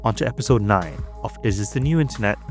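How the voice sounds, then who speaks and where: A man reading a scripted podcast introduction in a measured way. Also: on the low side, expressive, faint music behind. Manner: measured, read-out delivery. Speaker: podcast host.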